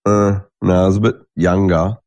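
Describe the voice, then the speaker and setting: A man's voice: sits low, flat delivery, normal speed, darkish tone, no room sound. A man, congested, rambling through a solo podcast monologue and restarting his sentences. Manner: rambling, swears, trails off and restarts. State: congested, stuffy nose. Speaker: podcast host.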